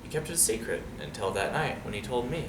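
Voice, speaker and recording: A man reading a storybook aloud to young listeners in an expressive, dramatic way. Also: medium pitch, quick pace. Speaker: storybook reader. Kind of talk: animated read-aloud story with asides to children. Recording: roomy, distant mic, noisy background.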